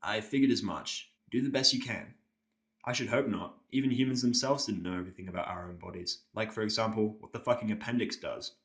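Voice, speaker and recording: A man's voice: on the low side, fairly quick, fairly bright tone, lightly acted. A man playing an irritable, sarcastic injured patient, blunt and cynical, in a first-person audio drama. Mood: wry.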